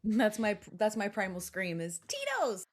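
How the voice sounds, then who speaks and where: A woman co-hosting a podcast in a casual, animated, jokey way, swearing freely. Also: mid-range voice, measured pace. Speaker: podcast co-host. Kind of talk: casual chat.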